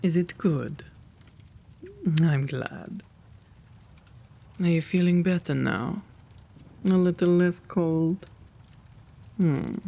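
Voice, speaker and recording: A man plays a kind gentleman vampire for an ASMR roleplay. His voice sits a little high and has a creaky edge, and he takes his time with courtly, old-fashioned politeness, gentle and easily flustered. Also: very slow, pauses often, a little hiss or hum, exaggerated voice. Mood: kind, soothing. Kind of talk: ASMR roleplay, intimate one-sided dialogue.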